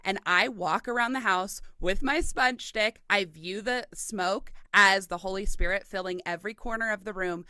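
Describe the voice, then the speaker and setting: A woman's voice: medium pitch, normal speed, few pauses, sharp tone, clean tone, dry recording. A woman hosting a podcast, chatting in an enthusiastic, affirming way. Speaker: podcast host. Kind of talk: casual interview conversation.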